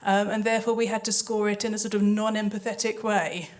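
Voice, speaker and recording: A woman's voice: mid-range voice, little pitch movement, fairly quick. A woman speaking thoughtfully on a panel, explaining creative work in a hesitant, explanatory way into a handheld microphone. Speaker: audio drama composer and panelist. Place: live festival panel. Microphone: handheld microphone passed between panelists.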